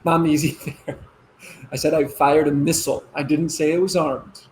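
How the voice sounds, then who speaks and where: A man's voice: a little high, level tone, normal speed, darkish tone, slight background noise, lightly acted. A man playing an eager, placating adult son who is a military officer, in naturalistic play dialogue. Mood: eager, proud.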